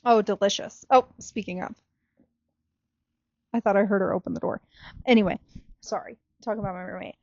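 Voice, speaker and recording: A woman's voice: medium pitch, steady tone, fairly quick, pauses often, a little mellow, no room sound, muffled recording. A young American woman co-hosting a podcast in informal, casual chat, often saying "like" and letting sentences trail off. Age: young adult. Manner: informal, heavy use of "like", trailing off. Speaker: podcast co-host.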